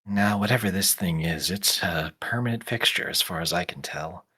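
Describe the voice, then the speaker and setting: Low, husky-voiced game master. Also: moderate pace, pushed and bright. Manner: casual, thinking aloud. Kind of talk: improvised tabletop game narration.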